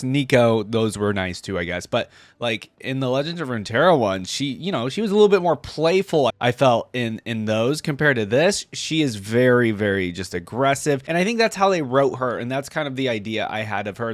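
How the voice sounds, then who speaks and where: A man's voice: medium pitch, dry recording. Casual man reacting, very dry close sound. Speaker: reaction video host.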